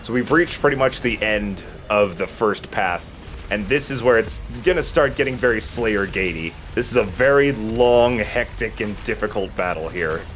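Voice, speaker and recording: A man's voice: fairly low pitch, expressive, normal speed, a bit bright, faint music behind. A man giving casual, explanatory commentary as he plays a video game. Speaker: let's play host.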